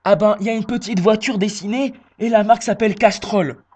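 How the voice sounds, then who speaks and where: A man's voice: high-pitched, steady tone, fairly quick, darkish tone. A man playing a confused, stubborn elderly customer, hesitant and naive, in a prank phone call. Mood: naive, puzzled. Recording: phone line with noise.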